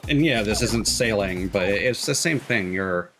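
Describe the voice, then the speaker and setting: A man's voice: on the low side, lively intonation, a little slow, fairly bright tone. A man giving casual live commentary as he streams a video game. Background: game music.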